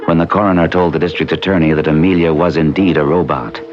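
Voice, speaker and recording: An actor playing an earnest, determined man who tells his own strange story in an old-time radio drama, grave and deliberate. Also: extremely low pitch, fairly even intonation, muffled recording. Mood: grave narration. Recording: band-limited old radio recording with music behind.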